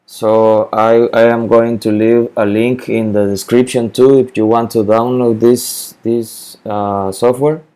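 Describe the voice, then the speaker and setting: Guy slowly walking you through a spreadsheet, mellow voice, keeps restarting his words. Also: medium pitch, flat delivery, clear, resonant tone. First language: Spanish. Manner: halting, repeats words, many fillers. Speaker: spreadsheet tutorial maker. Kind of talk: screen-recorded software walkthrough.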